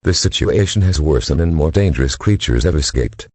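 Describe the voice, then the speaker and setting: A man with an extremely deep voice narrates a scripted emergency alert. His delivery is formal and stiff, with a husky edge and a dry, close sound. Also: animated, fairly quick, dark tone, dry recording.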